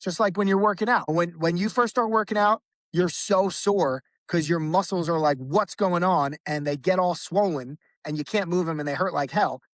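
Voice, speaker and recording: A man with a fairly high voice talks as a podcast guest in a loose, rambling way. He keeps restarting his sentences and fills gaps with "like". The sound is dry and close, with no room echo. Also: moderate pace, hardly any pauses, a bit bright. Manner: rambling, with frequent restarts and fillers. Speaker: podcast guest, band vocalist. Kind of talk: casual conversation.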